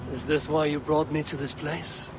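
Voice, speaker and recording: Guy voicing serious viking warrior in a game, terse, slightly high voice, noisy ambience. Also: level tone, moderate pace, close to an everyday voice. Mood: grave, attentive. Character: steady Viking warrior, plain-spoken and questioning. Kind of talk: dramatic video game acting. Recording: clear ambient noise behind voice.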